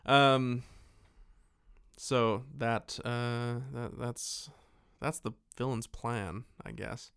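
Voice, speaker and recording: A man's voice: mid-range voice, fairly even intonation, extremely slow, vocal fry, fairly bright tone, clean tone, no room sound, clean studio sound. A man co-hosting a podcast, chatting casually about films in a rambling, jokey way with some swearing. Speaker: podcast co-host. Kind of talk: casual film discussion chat.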